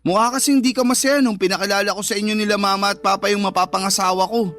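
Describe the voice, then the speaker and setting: An actor playing a lazy, irritable and defiant younger brother in a radio drama. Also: male voice, sits high, steady tone, fast, a bit bright, faint music behind. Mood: resentful. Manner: whiny, irritated. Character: lazy, sulky, defiant younger brother, married mobile gamer. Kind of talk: Filipino radio drama dialogue.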